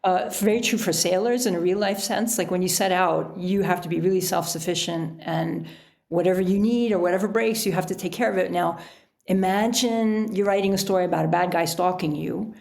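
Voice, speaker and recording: A woman speaking as an interview guest and writer, chatting casually in an animated storytelling way. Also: fairly low pitch, fairly quick, creaky. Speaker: podcast guest, adventure writer.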